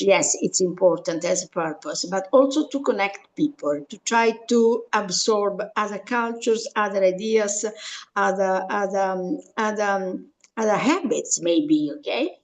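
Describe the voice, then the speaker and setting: A woman with an Italian accent introduces herself on a video call. She speaks thoughtfully, searches for words, and ends thoughts with a questioning "okay?". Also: mid-range voice. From southern Italy. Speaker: class participant.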